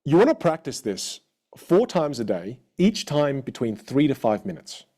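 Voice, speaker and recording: A man with an Australian accent coaching a live online audience in an energetic, encouraging way. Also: medium pitch, moderate pace. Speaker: communication coach.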